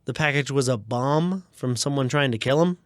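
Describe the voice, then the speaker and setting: A man's voice: mid-range voice, moderate pace, no room sound. A man co-hosting a radio show in scripted comedic banter, nitpicking and delivering dry quips.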